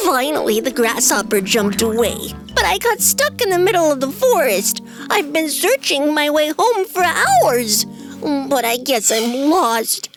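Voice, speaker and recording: A young, whiny piglet from a children's cartoon fairy tale. The voice is high and swoops around a lot. It speaks slowly and hesitantly, like a small child. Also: female voice, fairly bright tone, full character voice. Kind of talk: animated fairy tale voice acting.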